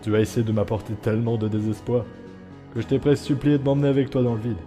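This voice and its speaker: A weary, guarded skeleton played by a man speaks in a very dark, mellow voice. He is subdued and earnest, with long reverb and faint music, as in a dramatic comic dub. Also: mid-range voice, little pitch movement, quick pace, close to an everyday voice. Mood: firm, wounded. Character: weary male skeleton, guarded, gentle, self-doubting.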